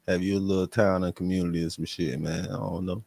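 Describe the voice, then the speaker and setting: A man's voice: low voice, little pitch movement, fast talker, creaky, a little mellow. A man doing casual reaction commentary as a channel host, blunt, slangy and heavy on profanity.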